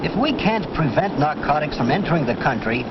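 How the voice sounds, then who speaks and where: A man's voice: a little high, sing-song, fairly quick. Earnest man asking questions, old film. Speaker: questioner in a dramatized dialogue. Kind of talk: scripted 1950s film dialogue. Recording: dull old film soundtrack with loud hiss.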